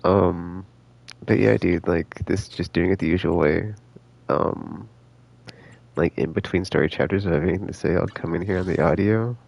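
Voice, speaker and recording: Very deep, gravelly male game streamer. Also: normal speed, darkish tone. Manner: laid-back slangy, thinking aloud. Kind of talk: casual rambling stream commentary. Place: indoors, live stream with game music behind.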